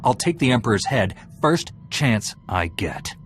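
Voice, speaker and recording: An actor playing a confident, defiant Jedi warrior in a video game, blunt and confrontational. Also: male voice, medium pitch, sing-song, unhurried. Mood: resolute. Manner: blunt, confrontational, self-assured. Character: confident, defiant young male Jedi warrior turning dark. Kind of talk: cinematic video game acting.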